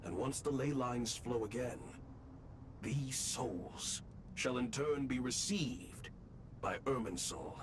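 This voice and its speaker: Man playing a solemn, slow-spoken saint. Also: noisy background, lightly acted. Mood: grave. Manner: grave, formal, deliberate. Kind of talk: theatrical video game acting. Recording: clear rumbling game sound behind.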